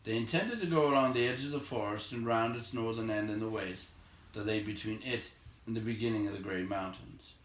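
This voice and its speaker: A man's voice: on the low side, brisk, hardly any pauses, a bit bright, roomy sound, slight background noise, rough recording. A man narrating, reading a storybook aloud.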